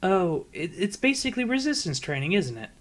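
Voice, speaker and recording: A man's voice: high voice, very animated, brisk, voice pushed up. A man voicing a studious young female unicorn pony in a storybook reading, polite, earnest and a little hesitant. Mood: curious. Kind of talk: fan fiction audiobook character voice.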